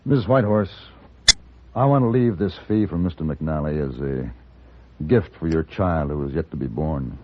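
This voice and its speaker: An actor playing a cool, courtly Western gunfighter in a 1950s radio drama, speaking in a measured, formal way with dry irony. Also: male voice, very deep voice, a little hiss or hum. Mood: gently solemn. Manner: measured, formal, dryly ironic. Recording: muffled, narrow old-time radio recording.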